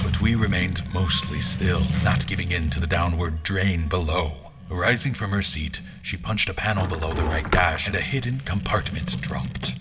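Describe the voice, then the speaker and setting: A man playing a seasoned general who narrates his sea adventure in a measured, literary, dramatic style for an audio drama. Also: low-pitched, very noisy, lightly acted. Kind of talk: dramatic first-person scripted narration. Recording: music under the voice.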